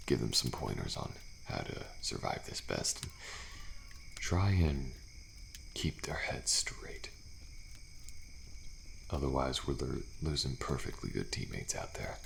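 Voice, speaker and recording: A man playing a weary, thrill-seeking survivor in an intimate ASMR audio roleplay, talking in a slow, laid-back drawl with pauses and sighs. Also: big pitch swings, a bit bright, slight background noise, heavily acted. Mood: weary, advising. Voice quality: deep, gravelly. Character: weary, thrill-seeking male survivor of endless killer trials. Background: campfire ambience with crickets.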